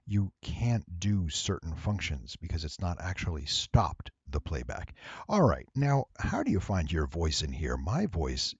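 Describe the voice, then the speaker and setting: Casting: male tutorial host, low and husky, slightly nasal, unhurried and playful, dry close recording. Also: no echo, lo-fi sound. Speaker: voice actor giving a software tutorial. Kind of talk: casual screen-recorded tutorial.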